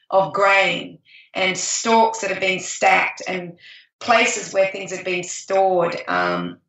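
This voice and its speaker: A woman's voice: medium pitch, pushed and bright, far from the mic. A woman co-hosting a podcast, talking enthusiastically in a rambling way with many restarts. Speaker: podcast co-host. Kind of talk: casual chat. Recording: echoey room, voice off-mic.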